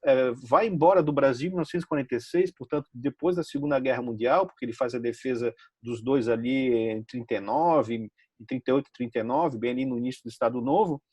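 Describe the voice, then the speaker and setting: A Brazilian man giving recommendations on a talk show, halting and repeating words as he speaks. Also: medium pitch, darkish tone, breathy edge, dry recording. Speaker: regular guest giving tips. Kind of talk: casual discussion show.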